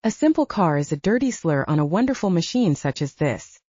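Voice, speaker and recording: A woman's voice: fairly low pitch, very animated, a little fast, warm tone, no echo. A woman voicing an intense, earnest adult male werewolf with formal phrasing in an audiobook story. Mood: admiring. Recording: dull lo-fi audiobook recording.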